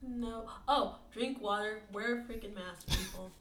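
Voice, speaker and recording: A soft-spoken woman co-hosting a casual podcast, hesitant with many filler words. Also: mid-range voice, a little slow. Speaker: podcast co-host. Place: indoor room. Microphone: set far from the mouth. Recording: echoey room, far from the mic, faint hiss.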